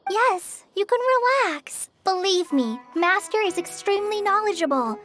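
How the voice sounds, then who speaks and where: A woman playing a young trainee forest ranger in a video game, gentle, modest and polite, speaking in an earnest, reassuring way. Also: very high-pitched, animated, moderate pace. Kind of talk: animated video game voice acting. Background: music.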